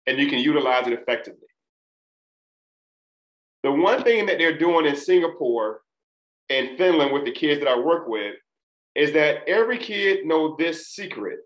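A man of Trinidadian family teaching a recorded test prep lesson, speaking deliberately and enunciating each syllable. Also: a little high, fairly bright tone. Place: small room, indoors. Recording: echoey room.

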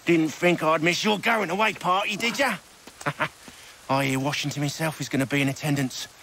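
Sneering game villain, highish sharp voice, gloating, noisy background. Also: male voice, moderate pace, creaky. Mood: mocking. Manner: taunting, sardonic. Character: gloating, mocking male conspirator, rough and lowborn. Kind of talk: video game cutscene acting.

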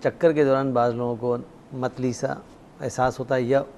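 A middle-aged Pakistani man explains things like a doctor on air, measured and dotted with English terms. His voice is soft-edged and mid-pitched, with noticeable background noise. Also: level tone. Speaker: doctor, studio guest expert. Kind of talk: explanatory expert talk. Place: TV studio at a desk. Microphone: lapel mic.

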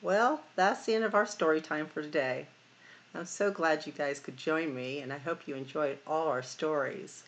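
A middle-aged woman hosting a library storytime, reading picture books aloud in a deliberate, expressive way for young children. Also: low-pitched. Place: small room at home or office, indoors.

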